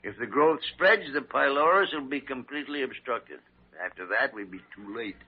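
An actor playing a crusty, nosy older senior doctor, grumbling and humming in old-time radio drama style. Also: male voice, medium pitch, animated, normal speed, slightly rough. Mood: grave. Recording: dull old radio broadcast recording.

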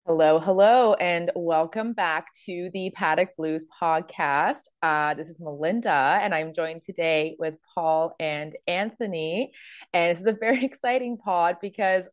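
A woman hosting a casual sports podcast, her voice hoarse and raspy from screaming, chatty with many fillers and laughs. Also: fairly low pitch, dry recording, studio quality. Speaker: podcast host. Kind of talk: casual chat.

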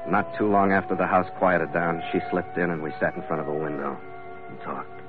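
A man's voice: deep voice, a little fast, phone-quality sound, lightly acted. An actor playing a tough, lovestruck sea captain who tells his own adventure tersely in 1940s radio drama style. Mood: brooding narration. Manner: hard-boiled, terse. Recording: thin vintage radio recording with music.

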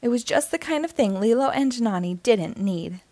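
A woman narrates a children's story in a fairly high voice with very lively, swooping intonation. She reads expressively, as a storyteller for young listeners, and the sound is dry and close. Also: normal speed, no room sound. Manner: animated, acting out dialogue. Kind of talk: expressive read-aloud storytelling.

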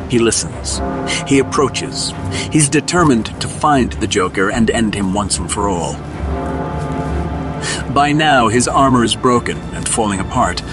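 A man delivers dramatic scripted narration for an audio drama, in a medium-pitched voice. Loud music plays underneath him.

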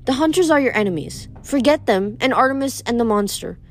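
A woman's voice: on the high side, animated, close to an everyday voice. A woman voicing a vain, gushing goddess of love who drawls and coos dramatically. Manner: breathy, drawn-out, dramatic gushing.

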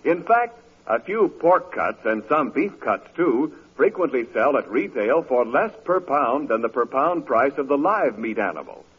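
A man's voice: medium pitch, big pitch swings, unhurried, husky. A male announcer reading a scripted sponsor message in 1940s radio style. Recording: muffled vintage radio recording.

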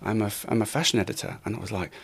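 A man's voice: lowish voice, very fast, vocal fry, breathy edge. A middle-aged man from Wales, a guest telling casual stories about his career, often swearing and acting out what people said. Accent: English, lost Welsh accent. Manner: swearing, laughing, acts out quotes. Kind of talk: casual interview storytelling.

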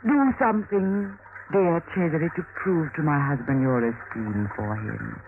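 An actress playing a cunning, coaxing young Renaissance noblewoman in a vintage radio drama, speaking in short, deliberate stage phrases. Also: low-pitched. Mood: sad, probing. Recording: muffled, noisy narrow-band old radio recording.

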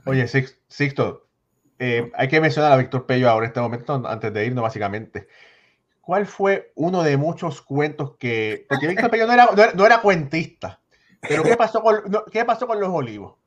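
A man's voice: on the high side, animated, moderate pace, lo-fi sound. A man hosting a casual sports interview, effusive and often restarting his sentences. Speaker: podcast host.

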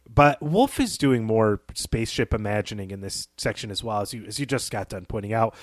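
A man with a mid-range voice speaks as a podcast co-host, captured dry and free of echo. He discusses fiction conversationally, explaining and analysing its details as he goes. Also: medium pitch.

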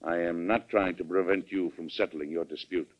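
An actor playing a hearty, forceful American president, emphatic and statesmanlike, in an old-time radio drama. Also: male voice, low-pitched, steady tone, moderate pace. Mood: firm, forceful. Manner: emphatic, declamatory. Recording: dull vintage radio recording.

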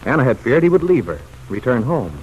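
A man's voice: medium pitch, sing-song, fairly quick, a little mellow, highs cut off. A man delivering dramatic scripted narration for an old-time radio story. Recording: old radio recording with faint noise.

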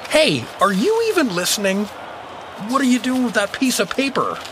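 A man's voice: very high voice, big pitch swings, moderate pace, background noise. An actor in an audio drama playing a bewildered, reluctant father, hesitant and incredulous in his delivery. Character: bewildered, reluctant everyman dad in an arena.

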